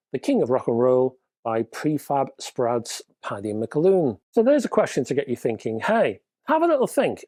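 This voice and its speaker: A man's voice: a little high, darkish tone, no room sound. A man with a stuffy, nasal voice from a cold, presenting a casual hi-fi news roundup with dry, wry humor.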